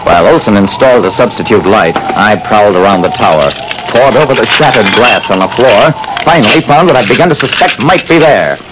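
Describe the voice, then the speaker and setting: A man's voice: fairly low pitch, expressive, a little fast, close to an everyday voice. An actor playing a male investigator who narrates his own adventure in a theatrical, clipped classic radio style. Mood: intent. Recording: noisy old radio broadcast.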